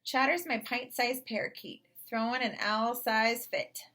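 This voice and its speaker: A woman narrating a children's story in an expressive read-aloud style. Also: fairly high pitch, unhurried. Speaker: storybook reader.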